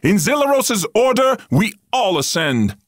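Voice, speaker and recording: A man plays a loyal propaganda herald in a game, grandiose and pompous. The voice is high and swings widely in pitch as each scripted line is slowly declaimed. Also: big pitch swings, full character voice. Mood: triumphant. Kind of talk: scripted game news announcement.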